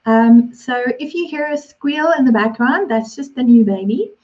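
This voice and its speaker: A woman's voice: sits high, animated, moderate pace. Dull-sounding woman hosting a meetup. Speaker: meetup host. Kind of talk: casual introduction. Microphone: video call. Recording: dull lo-fi call audio.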